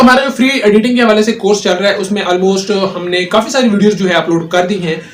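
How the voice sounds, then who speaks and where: High-pitched Pakistani male tutorial host. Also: background noise. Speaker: host of a tech tutorial channel. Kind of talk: scripted-style phone app tutorial narration.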